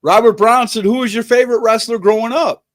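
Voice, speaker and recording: A man's voice: very high-pitched, fairly even intonation, fairly quick. A man hosting a casual online interview, reading out viewer questions, enthusiastic and repeating himself. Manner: enthusiastic, repeats words and restarts.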